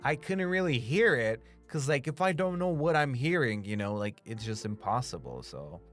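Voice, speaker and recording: A man's voice: medium pitch, very animated, moderate pace, a bit bright, soft background music. A young man from Romania with a slight Romanian accent, chatting casually with stream viewers, rambling with lots of "like" and freely swearing. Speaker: streamer and voice actor.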